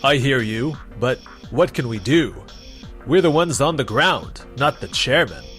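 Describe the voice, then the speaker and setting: A man's voice: mid-range voice, animated, unhurried, fairly bright tone, faint music behind. A man playing a defensive, blustering middle manager in an anime-style manga dub. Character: defensive, flustered male middle manager, eager to placate.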